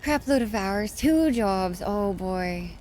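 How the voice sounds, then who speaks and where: A woman's voice: mid-range voice, lively intonation, slow pace, a little mellow, soft background music. A tired woman chatting casually with her viewers while she streams a game late at night. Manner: chatty, thanks viewers and reads chat aloud. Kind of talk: casual chat while gaming live.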